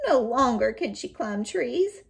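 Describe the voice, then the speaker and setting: Woman voicing a wheedling wolf posing as granny, animated. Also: a little high, creaky. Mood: plaintive. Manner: wheedling, drawn-out pleading. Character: sly hungry wolf posing as a frail old grandmother.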